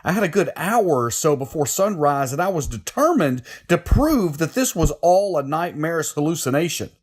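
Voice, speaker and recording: A man's voice: a little high, big pitch swings, moderate pace. An actor playing a tormented, desperate man forced to kill, pleading and anguished in a horror audio drama. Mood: shaken.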